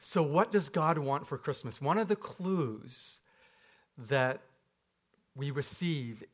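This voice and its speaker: A man's voice: on the high side, animated, slow pace. A man from Japan, a pastor, giving a conversational sermon with rhetorical questions and light humor in a church. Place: church sanctuary.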